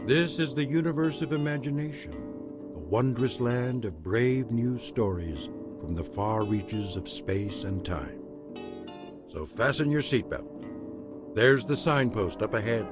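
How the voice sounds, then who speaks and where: An actor playing a solemn, portentous narrator of eerie radio tales, speaking in a measured way. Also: male voice, medium pitch, lively intonation. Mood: mysterious. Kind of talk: scripted radio drama narration. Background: music.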